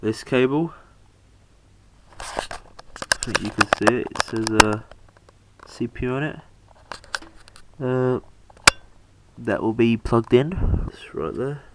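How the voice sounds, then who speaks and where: A young man with a slight cold, narrating a PC hardware install in a halting, casual way with lots of fillers. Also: mid-range voice, very noisy. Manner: halting, many fillers, talks while working. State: has a cold, slightly bunged up. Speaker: PC hobbyist showing a hardware install.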